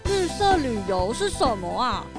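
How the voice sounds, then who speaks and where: A woman's voice: high voice, very animated, a little slow, music in the background. A woman playing an earnest, innocent little puppy in a children's audio drama. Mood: curious.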